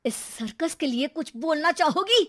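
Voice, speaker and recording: A woman voicing an irritable, teasing father who works from home, wry and complaining, in an animated story. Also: sits high, normal speed, voice pushed up.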